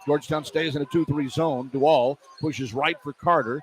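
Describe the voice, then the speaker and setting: A man doing live basketball play-by-play on the radio as the announcer, animated and quick to exclaim at the action. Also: on the high side. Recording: poor radio feed, clear background noise.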